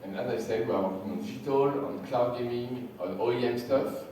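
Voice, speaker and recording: A man's voice: mid-range voice, warm tone. Sick French-accented man in a hall. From France. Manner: halting, repeats words. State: sick, losing his voice. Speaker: conference speaker. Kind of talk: conference presentation. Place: large hall. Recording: echoey hall from far off, faint hiss.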